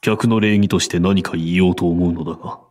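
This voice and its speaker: A deep, deadpan visual novel protagonist. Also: male voice, fast talker, soft background music. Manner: formal, deadpan. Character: stiff, solemn, socially clueless male visual novel protagonist. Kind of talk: Japanese visual novel voice acting.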